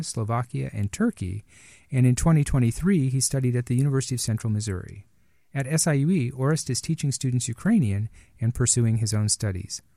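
Male interviewer, middle-aged, medium pitch, measured and hesitant conversational delivery, very little room sound. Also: dark tone, dry recording, clean studio sound. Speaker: radio interview host.

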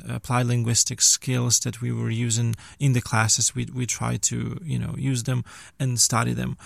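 Young man, gravelly, monotone, earnest, dry close sound. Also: medium pitch, no room sound. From Ukraine. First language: Ukrainian. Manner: earnest, searching for words. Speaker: guest, language teacher and graduate student. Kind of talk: casual interview answers.

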